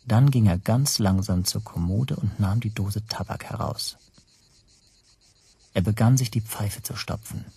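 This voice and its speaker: An actor narrating a radio drama in the first person as an anxious language teacher, hushed and tense. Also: male voice, on the low side, measured pace, creaky, slightly rough, full character voice. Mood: tense dread. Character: anxious male language teacher recounting his spy troubles.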